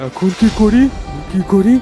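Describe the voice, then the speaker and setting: A man voicing a cowardly, excitable villager disguised as a woman in a comic horror cartoon, whining and exclaiming. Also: sits high, unhurried, music in the background. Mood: panicked. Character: cowardly, panicky male villager in female disguise.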